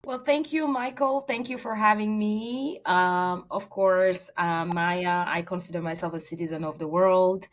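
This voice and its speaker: A woman from Senegal with a fairly low voice, talking through her career story as an interview guest. She speaks earnestly, with frequent um and uh fillers. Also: fairly even intonation, unhurried, few pauses. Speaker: real estate agent, guest. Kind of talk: interview answers.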